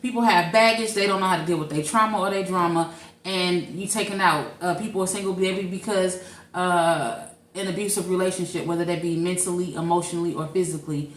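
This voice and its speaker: A woman's voice: fairly low pitch, fairly even intonation, a little fast. A woman in her forties with a St. Louis accent, co-hosting a podcast in a chatty, rambling way. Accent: St. Louis, Missouri. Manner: chatty, rambling, laughs easily. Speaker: podcast co-host. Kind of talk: casual podcast chat. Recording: echoey room, off-mic, faint noise.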